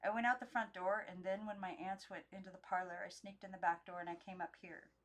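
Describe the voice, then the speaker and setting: Woman reading as an earnest, halting young girl. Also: medium pitch, steady tone, close to an everyday voice. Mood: matter-of-fact. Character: shy, earnest young girl sharing at school.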